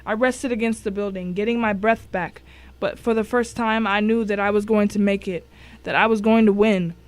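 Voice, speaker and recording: A woman's voice: mid-range voice, fairly even intonation, moderate pace. A woman reading a scripted first-person thriller as narrator, telling it with drama and suspense. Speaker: story narrator.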